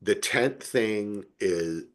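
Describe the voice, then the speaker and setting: A middle-aged American man from New Jersey chatting casually as a podcast co-host, telling things in an animated, profane way. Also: fairly low pitch, very slow. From New Jersey, United States. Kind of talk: casual TV recap chat.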